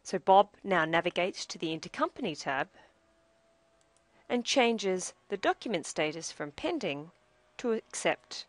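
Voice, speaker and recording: A woman with a slightly low voice narrates a scripted software demonstration. She explains each step in a measured way. The sound is very dry, with no room echo. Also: big pitch swings.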